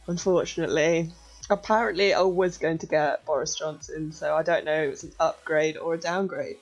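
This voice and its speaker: Croaky-voiced young woman, chatty streamer. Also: fairly low pitch, rough recording. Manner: chatty, relaxed, giggly. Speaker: streamer teaching hacking. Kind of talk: casual live-stream chat and tutorial. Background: faint music.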